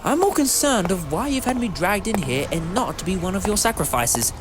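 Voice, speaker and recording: A man's voice: high-pitched, very animated, a little fast, over music. A man playing an eccentric time-travelling hero in an audio drama, wry, modest and moralising, with a theatrical manner.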